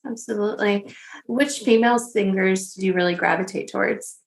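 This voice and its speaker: A woman's voice: mid-range voice, normal speed. An American woman hosting a podcast, asking questions in a casual, conversational way. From Dallas, Texas, USA. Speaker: podcast host, interviewer. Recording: roomy, echoing sound.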